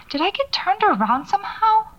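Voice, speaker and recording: A woman's voice: very high-pitched, sharp tone, exaggerated voice. A woman acting in an audio drama as a wry horror novelist who records understated, musing field notes to herself while out alone at night. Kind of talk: naturalistic audio fiction monologue, spoken field notes. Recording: dull lo-fi cassette-style tape.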